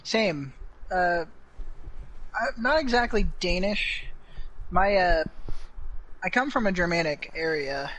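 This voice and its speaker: A man's voice: sits high, lively intonation, slow pace, long pauses, fairly bright tone, poor audio. A man co-hosting a casual podcast, laid-back and hesitant, reading out chat questions.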